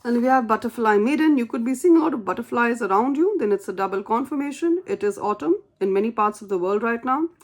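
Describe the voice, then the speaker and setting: A woman's voice: fairly high pitch, animated, fast talker, hardly any pauses. A woman whose first language is not English, giving an earnest, encouraging tarot reading and repeating key phrases for emphasis. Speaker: tarot reader.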